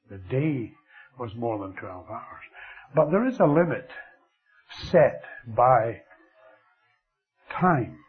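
An older man with a Scottish accent preaching a sermon, slow and expressive, letting his voice fall very quiet at the ends of phrases. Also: mid-range voice. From Scotland. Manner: slow, lively delivery with dramatic drops to near whisper. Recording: thin phone-like radio broadcast.

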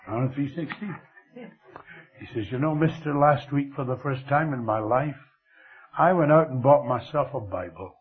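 An older man with a Scottish accent preaching a sermon, slow and expressive, letting his voice fall very quiet at the ends of phrases. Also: mid-range voice. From Scotland. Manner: slow, lively delivery with dramatic drops to near whisper. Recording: thin phone-like radio broadcast.

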